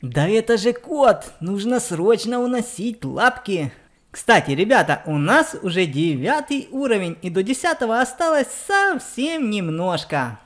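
A woman's voice: medium pitch, very animated, unhurried. A woman giving explanatory game commentary for children, speaking directly to her young viewers. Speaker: let's play narrator on a children's channel.

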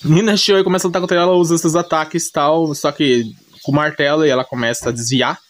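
Brazilian man rambling, slightly high-pitched. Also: steady tone, quick pace, no room sound. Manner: rambling, slangy, often self-correcting. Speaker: YouTube anime recapper. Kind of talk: casual, unscripted retelling of an anime story.

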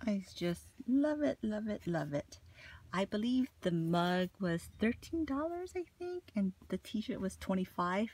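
A woman's voice: mid-range voice, very animated, unhurried, a little mellow, breathy edge. A woman vlogging casually about her shopping haul, chatty and gushing, with plenty of "like" and "you know". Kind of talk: casual haul vlog chat.

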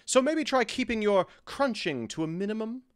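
A man plays a theatrical fairy king in a modern audio drama. His pitch leaps about as he protests, huffy, defensive and quick to get flustered. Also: animated, smooth. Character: petulant, theatrical fairy king living as a bickering husband.

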